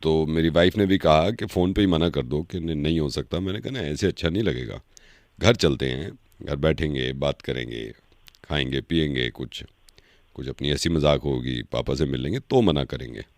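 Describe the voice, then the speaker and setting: A man voicing a genial middle-aged father on a prank call, halting and avuncular as he talks. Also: extremely low pitch, no echo, clean studio sound. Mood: genial, hesitant. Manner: slow, halting, chuckling, avuncular. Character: genial, hesitant middle-aged father seeking a match for daughter.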